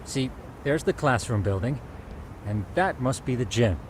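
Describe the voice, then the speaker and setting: A man acting a formal, animated tech expert. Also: mid-range voice, measured pace, slight background noise, close to an everyday voice. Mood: formal, brisk. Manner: formal, wordy, precise diction. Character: polite, meticulous male tech expert investigator, quietly smug. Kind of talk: English video game dub acting.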